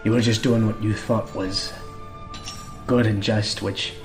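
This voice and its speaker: An actor playing a devout, hot-headed but warm priest-warrior with an in a fantasy audio drama. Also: male voice, fairly low pitch, flat delivery, unhurried. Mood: apologetic, gentle. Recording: music behind the voice.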